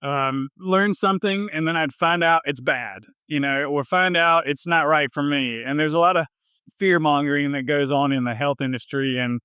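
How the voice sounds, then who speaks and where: A man with a Southern US accent telling his personal story in a casual interview, informal and a little halting in his phrasing. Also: fairly high pitch, bright, forceful tone, smooth, dry recording, clean studio sound. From southern United States. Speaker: health coach, podcast guest.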